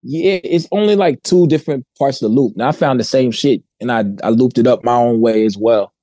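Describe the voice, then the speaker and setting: A man hosting a podcast, telling stories in a slangy, animated, casual way. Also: medium pitch, fairly quick, dry recording. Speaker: podcast host.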